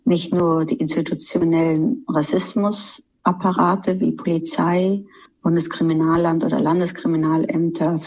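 A woman's voice: fairly low pitch, darkish tone, husky, low-quality recording. A woman film director answering interview questions in a thoughtful, searching way, with some fillers as she goes. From Germany.

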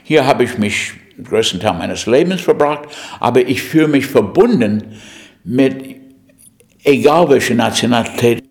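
A man's voice: medium pitch, animated, unhurried, a little mellow. An older man from the USA with an American accent, a native English speaker, speaking reflectively with some stumbles. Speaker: interviewee.

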